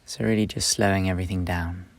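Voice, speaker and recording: A man leading a guided meditation, speaking slowly and gently in short, soft phrases with long pauses. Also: deep voice, steady tone. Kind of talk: guided meditation, instructional and soothing.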